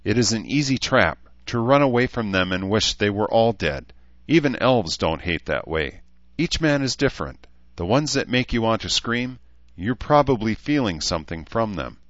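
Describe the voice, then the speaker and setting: A man's voice: lowish voice, big pitch swings, normal speed, a bit bright, dry recording, lo-fi sound, voice pushed up. A man voicing a blunt, wise but caring elf woman who talks in clipped, broken phrases, in an audiobook story. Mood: frank, advising.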